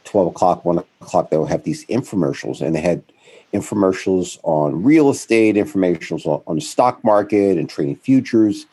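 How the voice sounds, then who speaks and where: Middle-aged man, interview guest; deep husky voice, rambling, self-correcting delivery. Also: moderate pace. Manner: rambling, self-correcting, animated when quoting others. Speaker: guest, founder of a financial firm. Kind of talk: conversational interview storytelling.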